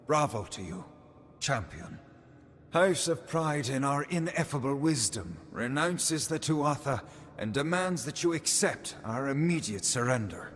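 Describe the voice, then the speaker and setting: A man's voice: medium pitch, lively intonation, unhurried. An actor playing a haughty aristocratic fae spokesman in a fantasy video game, formal, flowery and condescending. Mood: pompous, obliging. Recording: reverberant hall under loud music.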